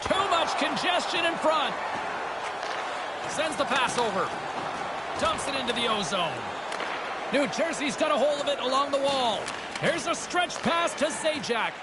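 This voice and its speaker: A man playing an excitable hockey play-by-play announcer in a sports video game, calling the action in clipped, urgent phrases. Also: very high voice, measured pace, pauses often, heavy background noise. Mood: urgent, excited. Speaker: play-by-play commentator. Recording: loud game music and crowd behind. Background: arena crowd, music, game sound effects.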